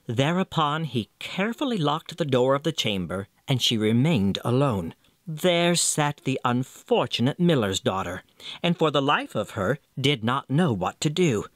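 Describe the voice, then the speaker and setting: A male storyteller narrates a classic fairy tale with theatrical expression. His mid-pitched voice moves through a lively range, and the sound is dry. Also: a little slow, no room sound.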